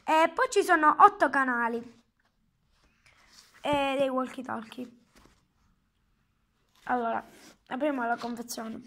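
Casual product reviewer, high voice, unhurried halting explanations. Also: pushed and bright. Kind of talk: casual hands-on product demonstration. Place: indoors at a table at home. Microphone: handheld phone.

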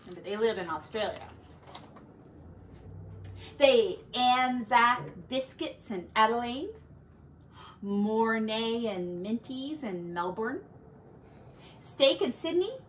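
Animated, high-voiced woman reading a storybook. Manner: expressive, dramatic emphasis with asides to listeners. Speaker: teacher reading aloud. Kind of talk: storybook read-aloud for young children. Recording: slightly distant mic, faint background noise.

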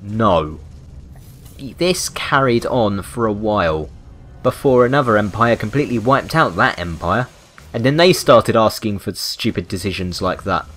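A man's voice: lowish voice, expressive, measured pace, fairly bright tone. A British man giving rambling, unscripted video game commentary with a wry, mock-indignant manner. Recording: music behind the voice. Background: background music.

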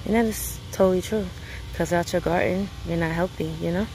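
A woman's voice: fairly low pitch, fairly even intonation, a little fast, darkish tone, a little hiss or hum, low-quality recording. A woman from Brooklyn, chatting casually to viewers while picking peppers in a sunny outdoor garden, filmed on a phone. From Brooklyn, New York City. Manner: chatty, addresses viewers as guys. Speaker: homestead vlogger.

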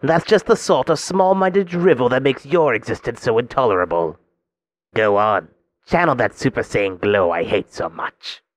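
A man's voice: mid-range voice, animated, normal speed, no room sound, lightly acted. A man voicing an arrogant, sadistic alien tyrant from anime, with a haughty, mockingly refined, theatrical delivery. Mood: contemptuous, sneering. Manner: sneering, haughty, taunting, theatrical. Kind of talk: theatrical anime villain voice demo.

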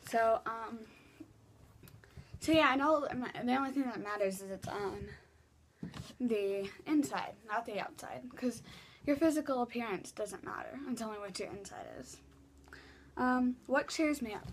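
A woman's voice: a little high, measured pace, long pauses, a little hiss or hum. A teenage girl chatting casually in her bedroom, answering viewer questions with lots of fillers and restarts. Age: teens. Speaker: vlogger. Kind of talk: casual Q and A vlog. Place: small bedroom, indoors. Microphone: laptop webcam mic.

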